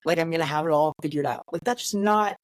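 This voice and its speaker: A man in his late twenties, a podcast guest talking candidly in a reflective, halting way with many fillers and restarts. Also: on the high side, no echo, clean studio sound. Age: 20s. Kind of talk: candid conversational interview.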